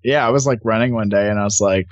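A man's voice: on the low side, quick pace, no echo, lo-fi sound. A man chatting casually and enthusiastically as a podcast guest. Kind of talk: casual conversation.

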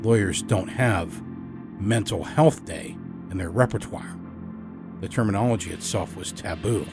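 A man narrating a first-person horror story as a storyteller, dramatic and expressive in his emphasis. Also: low voice, measured pace, music in the background. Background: musical score.

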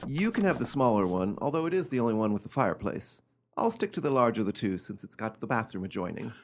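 A man acting in a radio play as a nervous, imperious gentleman living in terror, theatrical and fretful. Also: sing-song, fast, noisy background. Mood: breezy, teasing. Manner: theatrical, high-strung, fretful.

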